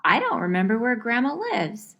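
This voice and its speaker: A woman's voice: on the high side, huge pitch swings, moderate pace, fairly bright tone, highs cut off, full character voice. A woman voicing a naive, foolish little mermaid girl in a puppet show, with a singsong manner. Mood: scared, whiny.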